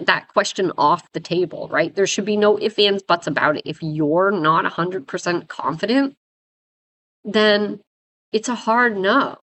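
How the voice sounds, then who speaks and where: Casting: woman dog trainer, hoarse from a cold, blunt and emphatic, casual talk. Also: mid-range voice, a bit bright. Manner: emphatic, blunt, repeats "right?" and "guys". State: raspy from a head cold. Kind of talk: casual advice talk to viewers.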